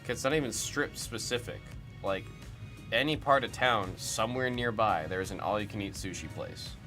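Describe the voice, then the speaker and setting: Lowish-voiced man chatting on a livestream. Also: normal speed, sharp tone. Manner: rambling, puzzled, chatty. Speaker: livestream host of card breaks. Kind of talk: casual chat reacting to viewer comments. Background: music.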